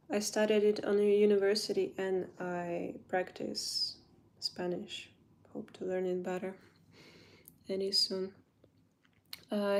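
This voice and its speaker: A young woman from Ukraine with a Ukrainian accent, chatting casually in English on a phone livestream and often pausing to search for words. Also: medium pitch, a little mellow. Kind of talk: casual livestream chat while doing makeup.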